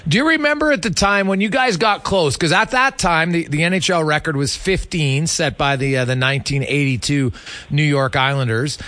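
A male sports radio host with a forceful, bright and fairly high voice. He is chatty and roams through long questions, recorded close and dry in a studio. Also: moderate pace, dry recording. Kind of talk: conversational sports interview.

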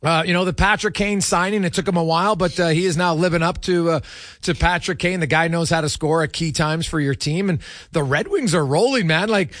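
A man's voice: high-pitched, a bit bright, no room sound, studio quality. A man hosting a sports show, chatting about hockey in an informal, chummy, conversational way. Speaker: sports radio host. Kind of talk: casual hockey talk.

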